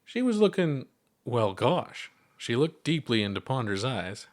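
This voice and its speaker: A man narrating a story aloud in an expressive storybook style, as the author reading his own work. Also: mid-range voice, measured pace.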